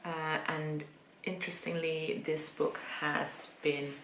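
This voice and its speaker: Her voice sits low for a woman and. She talks through a book review casually and thoughtfully, pausing often as she picks her words. The room gives it a clear echo, with faint noise underneath. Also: flat delivery, a bit bright. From Netherlands. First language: Dutch.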